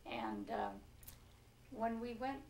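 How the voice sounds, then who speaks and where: A woman's voice: a little high, huge pitch swings, takes it slowly, darkish tone. Elderly American woman, roomy recording. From Pinole, California. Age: 70s. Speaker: oral history interviewee. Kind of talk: reminiscing in a relaxed interview. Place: living room, on a leather couch. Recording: roomy, distant mic with faint noise.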